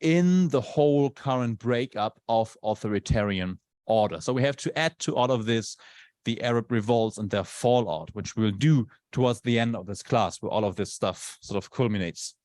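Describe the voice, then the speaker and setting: A male lecturer whose first language is not English speaks in a relaxed, conversational way to a class. He often tags his points with a quick question. His voice sits at a middle pitch, and the recording is dry and close. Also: dry recording. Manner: conversational, frequent tag questions. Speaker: university lecturer. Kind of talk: university lecture. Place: lecture room.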